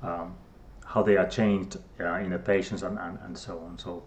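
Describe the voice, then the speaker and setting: A man's voice: sits low, flat delivery, moderate pace, a little hiss or hum. A middle-aged man from Finland with a Finnish accent, a guest scientist who talks hesitantly with many fillers and restarts. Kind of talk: casual interview talk.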